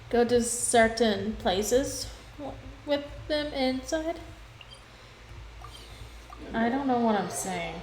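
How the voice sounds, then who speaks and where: A woman game streamer giving casual livestream commentary, rambling and thinking out loud. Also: on the high side. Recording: roomy sound, faint noise.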